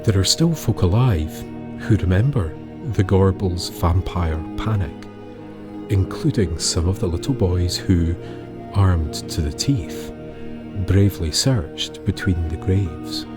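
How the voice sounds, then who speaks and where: A man narrating a scripted tale in a measured way, with dramatic pauses. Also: low-pitched. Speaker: storyteller, podcast narrator. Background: music bed with guitar.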